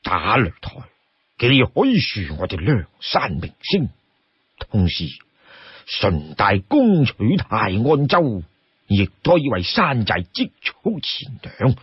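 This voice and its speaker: A man's voice: on the low side, big pitch swings, takes it slowly, husky, no echo, lo-fi sound, voice pushed down, exaggerated voice. A man voicing an assured, loyal warrior who advises his sworn brother in a storybook tale. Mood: persuasive.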